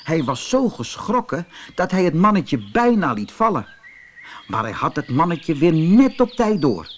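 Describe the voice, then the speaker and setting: Highly animated male fairy tale storyteller. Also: measured pace. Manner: expressive, dramatic storytelling. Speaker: audiobook storyteller. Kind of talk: scripted children's fairy tale narration.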